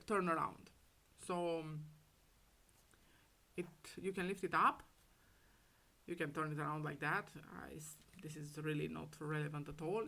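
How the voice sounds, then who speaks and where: Raspy woman with a cold, hesitant. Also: sits low, fairly bright tone. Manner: hesitant, many ums, fond and gushing. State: hoarse from a cold. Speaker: crafter showing her handmade album. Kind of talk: casual craft show-and-tell.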